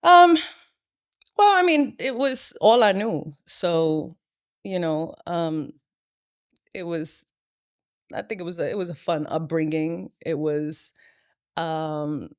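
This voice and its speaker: A woman's voice: lowish voice, lively intonation, takes it slowly, long pauses, clear, resonant tone, no echo, clean studio sound. A woman, an interview guest sharing her life story in a reflective, hesitant way. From Brooklyn, New York. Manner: reflective, hesitant, with many fillers. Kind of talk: personal testimony interview.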